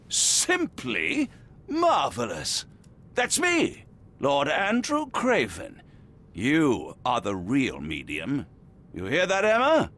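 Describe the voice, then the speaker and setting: A man's voice: medium pitch, full character voice. Lord Craven, blustering nobleman. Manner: indignant, boastful, shouting at times. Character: blustering middle-aged nobleman, proud and hot-tempered. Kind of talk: video game cutscene voice acting.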